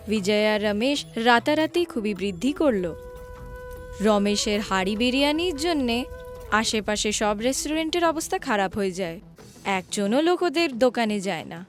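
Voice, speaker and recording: A woman's voice: mid-range voice, animated, a little slow, soft background music. A woman giving scripted storybook narration for a cartoon.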